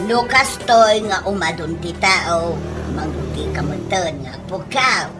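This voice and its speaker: An actress playing a brisk, bossy middle-aged mother selling fish in a comedy radio drama, with a Filipino accent. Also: lowish voice, animated, over loud music. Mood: instructing.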